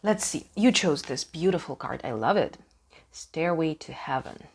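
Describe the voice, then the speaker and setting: A woman from Ukraine, a native Russian speaker with a Russian accent, casually hosting a tarot reading in a halting, emphatic way, searching for words. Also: low-pitched, animated, husky. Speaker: tarot reader hosting a channel.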